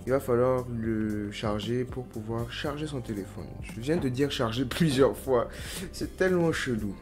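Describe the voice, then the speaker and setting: A man's voice: mid-range voice, moderate pace, music in the background. A young man casually unboxing and testing earbuds on his vlog, thinking aloud in a chatty, slangy, self-mocking way. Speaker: YouTuber.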